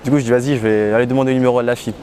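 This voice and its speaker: A man's voice: mid-range voice, fairly even intonation, rapid-fire, mellow. A young man chatting informally and slangily, recounting things as a student giving a testimonial, with faint street noise. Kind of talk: casual anecdotal chat.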